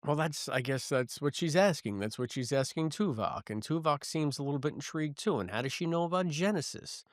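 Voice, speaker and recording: A man co-hosting a podcast, casually reviewing and discussing, with animated, halting delivery and emphatic repetition. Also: fairly high pitch, no echo, clean studio sound. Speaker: podcast co-host. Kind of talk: casual review discussion of a sci-fi audio drama.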